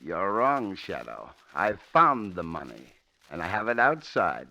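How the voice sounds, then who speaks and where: A man's voice: low voice, very animated, unhurried, pushed and bright, heavily acted. An actor playing a ruthless, cocky gangster with a tough-guy manner in a 1940s radio drama. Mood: gloating, menacing. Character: ruthless, cocky gangster with a gun, boastful man. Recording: muffled old radio recording.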